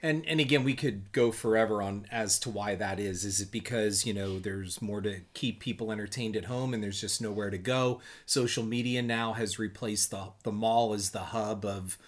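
A man's voice: on the low side, fairly even intonation, moderate pace, few pauses. A man in his forties from Pennsylvania, co-hosting a casual money podcast with dry, wordy asides. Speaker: podcast co-host. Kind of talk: casual chat.

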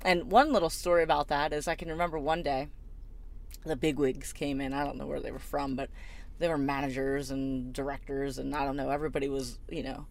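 A woman's voice: extremely low pitch, level tone, a little fast, vocal fry. A middle-aged woman co-hosting a podcast, rambling through a personal story with many fillers and restarts and frequent laughter. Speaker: podcast co-host. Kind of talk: casual storytelling chat.

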